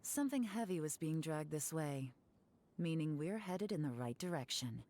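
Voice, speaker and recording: Low-voiced, shrewd woman investigator. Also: expressive, moderate pace, mellow. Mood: focused, assured. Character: confident, shrewd, teasing adult female investigator. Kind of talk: video game voice acting, storytelling and deduction.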